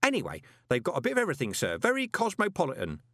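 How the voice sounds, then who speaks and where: Man acting old male postman, high swooping pitch, gossipy, ingratiating, audiobook character. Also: wildly swooping pitch, very fast, fairly bright tone, no echo, clean studio sound, heavily acted. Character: chatty, conspiratorial elderly male postal worker, deferential.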